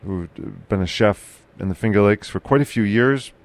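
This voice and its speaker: Low gravelly man with a carrying voice. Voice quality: loud, carrying voice. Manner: halting, many fillers and restarts. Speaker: podcast host. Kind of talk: casual local news chat.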